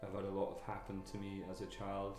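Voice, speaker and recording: A man from Wales, a guest telling his own story in a candid interview, halting, with many fillers and restarts. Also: sits low, monotone. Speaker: guest, army veteran. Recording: echoey room with music behind.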